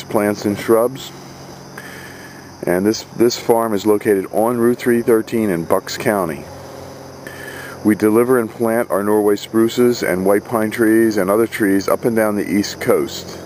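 A man running a family tree farm, talking outdoors in a brisk, salesman-like way as he lists what the business offers. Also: lowish voice, fairly even intonation, darkish tone, a little hiss or hum, rough recording. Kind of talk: spoken advertisement pitch.

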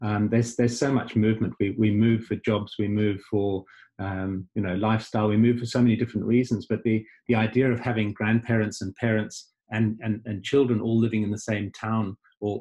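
A middle-aged man from Zimbabwe, a life coach guest on a video call, speaking thoughtfully and haltingly. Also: on the low side, fairly even intonation, dark tone. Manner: halting, many fillers and restarts, thoughtful. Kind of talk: casual video call conversation.

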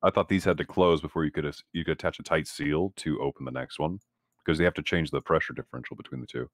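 A man's voice: extremely low pitch, steady tone, fast talker, no room sound, studio quality. A man playing a tabletop game, explaining and arguing his points in an insistent, casual way.

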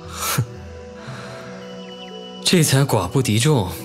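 An actor playing a proud, teasing and wistful young nobleman officer in an ancient-costume audio drama, with measured classical phrasing. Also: medium pitch, animated, music in the background. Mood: grim, recounting. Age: young adult.

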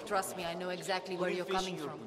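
A woman's voice: lowish voice, flat delivery, fast talker, a crowd in the background, natural voice. A woman playing an imperious, impatient businesswoman crime boss in a video game, haughty and dismissive. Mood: businesslike, confiding. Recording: echoey room, clear background ambience.

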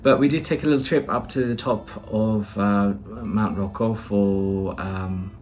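British guy in his 30s rambling on camera, lots of uhs, kinda low voice. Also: steady tone, measured pace, a little mellow, slight background noise. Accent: British English. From Britain. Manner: chatty, rambling, many "uh"s and restarts. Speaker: vlogger. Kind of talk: casual vlog chat and voice-over narration. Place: small plain room indoors.

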